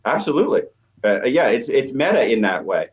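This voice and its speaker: A man's voice: mid-range voice, sing-song, brisk. A man speaking as an expert guest, explaining conversationally and thinking aloud as he goes. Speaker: expert guest, media professor. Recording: slightly dull, lo-fi line.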